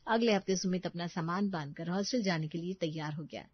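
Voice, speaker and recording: A woman's voice: on the low side, brisk, husky, no echo, lo-fi sound. A woman reading scripted storytelling narration as the narrator.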